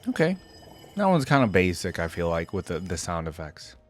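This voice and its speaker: A man's voice: low-pitched, very animated, moderate pace. A man giving a casual, informal live reaction commentary, thinking aloud with frequent exclamations. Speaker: reaction video host. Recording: music playing behind the voice. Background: game music and sound effects.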